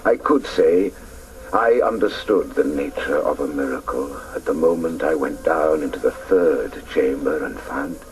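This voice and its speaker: A grown man haunted by his childhood, telling it in solemn, hesitant first person. The voice is very deep and creaky. The radio recording is a little distant with faint noise. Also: a little slow. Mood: reflective. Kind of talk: dramatic first-person narration.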